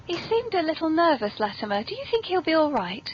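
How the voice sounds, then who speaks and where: High-voiced genteel British woman. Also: big pitch swings, fairly quick. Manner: genteel, very polite, playful. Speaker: podcast host. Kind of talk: whimsical scripted comedy hosting. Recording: muffled, noisy low-quality podcast audio.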